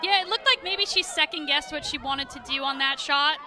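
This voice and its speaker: American female color analyst, high register, conversational and explanatory, live outdoor stadium with crowd noise. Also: fairly quick. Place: outdoor stadium broadcast position. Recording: crowd and music behind, outdoors. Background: crowd and music.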